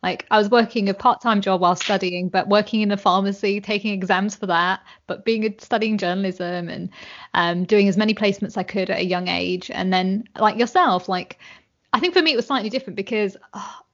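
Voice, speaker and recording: An animated young woman chats as a podcast guest and keeps dropping "like" into her sentences. Her voice sits at a middle pitch, and the recording sounds slightly muffled. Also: fairly quick. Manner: animated, chatty, many fillers and "like"s. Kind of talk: casual conversation.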